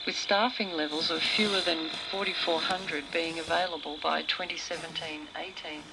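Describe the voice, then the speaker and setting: A woman's voice: low voice, fairly even intonation, moderate pace. A woman reading scripted news, heard through a very noisy radio. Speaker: radio broadcaster.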